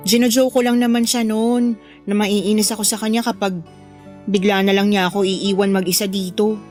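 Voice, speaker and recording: An actress playing a sweet, clingy, stubborn young daughter in an emotional Tagalog radio drama. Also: female voice, mid-range voice, little pitch movement, moderate pace, a little mellow, soft background music, lightly acted. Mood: wistful, chatty.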